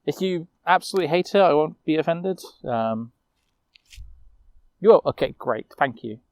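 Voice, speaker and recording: A man telling a fantasy tale in character, moving between informal asides and measured narration. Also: on the high side, expressive, darkish tone, no room sound. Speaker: storyteller.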